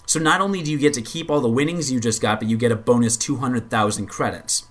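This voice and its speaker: A man's voice: mid-range voice, fast talker, fairly bright tone. Rambling man in casual gaming chat. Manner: rambling, stammering repeats, swears when annoyed. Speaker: podcast co-host.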